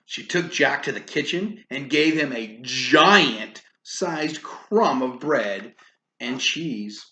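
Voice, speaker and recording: A woman reading a children's story aloud in an animated way, with casual asides. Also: rumbling low, slow. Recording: roomy echo, faint background noise.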